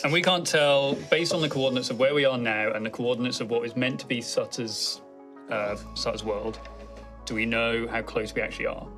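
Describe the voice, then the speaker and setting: A man's voice: mid-range voice, fairly quick, fairly bright tone, soft background music. A man playing a tabletop role-playing game, talking through questions and plans casually.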